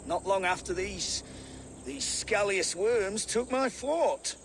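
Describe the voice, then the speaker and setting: A man's voice: high voice, expressive, slow, slight background noise, full character voice. A man acting a haughty, suspicious medieval lord in a video game, his voice strained as if choking. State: strained, gasping, coughing.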